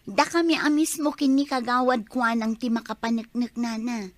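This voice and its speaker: A woman's voice: fairly high pitch, steady tone, moderate pace, no room sound, close to an everyday voice. A woman playing a gossipy village woman who likes to grumble, in a comedy radio drama. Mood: gossipy, indignant.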